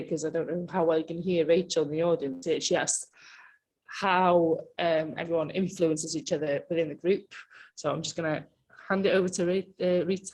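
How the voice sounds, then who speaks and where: A woman's voice: lowish voice, darkish tone, rough recording. A woman moderating an artists' panel, chatty and informal. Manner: chatty, informal, laughs easily. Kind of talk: hosting a gallery talk. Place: gallery with live and online audience.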